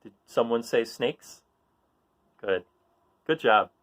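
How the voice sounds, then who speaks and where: A man's voice: medium pitch, takes it slowly, fairly bright tone. A man hosting a children's read-aloud, reading a picture book and chatting in an encouraging way, often putting questions to his listeners.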